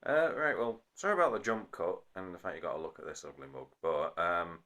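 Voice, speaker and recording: A man's voice: low-pitched, fairly quick, a bit bright. A man talking casually to a phone as a hobbyist explaining a repair, rambling and often correcting himself apologetically. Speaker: hobbyist video maker. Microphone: phone recording.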